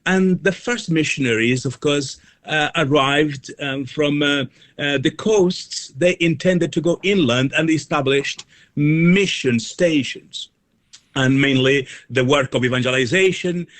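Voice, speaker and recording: A man speaking as an interview guest, explaining things in formal, academic wording with halting delivery. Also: on the high side, fairly bright tone. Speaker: guest, reverend and mission organisation officer. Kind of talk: considered explanatory interview answers.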